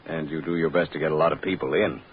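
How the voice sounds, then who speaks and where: An actor playing a gloomy but dryly genial prison warden with a familiar manner, in a tinny vintage radio drama. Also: male voice, rumbling low, fairly even intonation, fast talker, slight background noise. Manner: dry, familiar, glum humour. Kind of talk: old-time radio drama acting.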